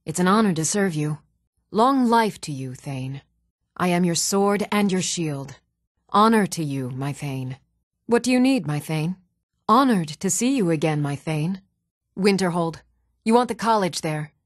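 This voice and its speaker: A woman's voice: lowish voice, expressive, measured pace, dry recording. Earnest fantasy townswoman, dry studio sound. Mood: dutiful. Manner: formal, archaic phrasing, earnest. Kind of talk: fantasy video game voice lines.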